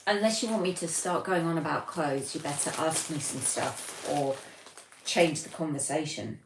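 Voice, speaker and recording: British female streamer, low register, informal and chatty, recorded at a distance with background noise. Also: normal speed. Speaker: livestream host. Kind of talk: casual livestream chat, reading out viewer comments. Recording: roomy, distant mic, noticeable background noise.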